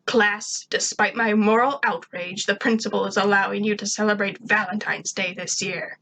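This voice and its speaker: A woman's voice: mid-range voice, steady tone, moderate pace, a bit bright, slight background noise, close to an everyday voice, poor audio. Woman playing a curt, dour schoolteacher. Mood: grudging. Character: grim, bitter female schoolteacher, curt and weary.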